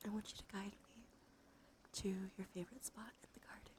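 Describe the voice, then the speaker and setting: A woman giving an ASMR guided visualization in a slow, soothing, gently coaxing manner. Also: mid-range voice, little pitch movement. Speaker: ASMR streamer. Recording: music behind the voice. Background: music.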